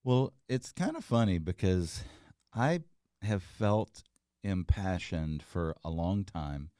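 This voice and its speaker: A man's voice: deep voice, expressive, takes it slowly, dark tone, clean tone, no room sound, clean studio sound. A middle-aged man, a guest talking casually and earnestly, rambling with fillers and restarts. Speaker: guest, entrepreneur. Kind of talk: casual interview chat.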